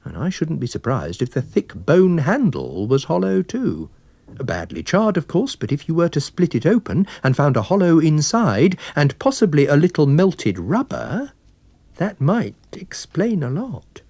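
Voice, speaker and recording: A man voicing an affable, observant wine salesman and amateur sleuth, polite and gently pointed, in a dramatised story reading. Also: fairly high pitch, wildly swooping pitch, measured pace, breathy edge, no echo, pitched above the performer's own voice, heavily acted. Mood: eagerly explaining.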